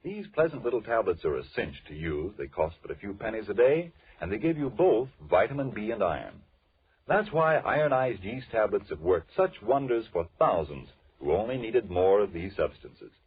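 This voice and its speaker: A man announcing in a dramatic 1940s radio style, as narrator and pitchman. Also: big pitch swings, moderate pace, slightly rough. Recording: narrow lo-fi old radio recording.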